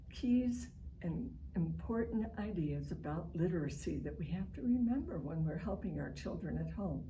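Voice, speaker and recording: An older American woman with a slightly low voice teaches families in an informal online lesson. She explains in measured phrases, leaning on key words. The mic is at a distance and there is clear noise in the recording. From Chicago, United States. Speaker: literacy educator leading online family reading sessions.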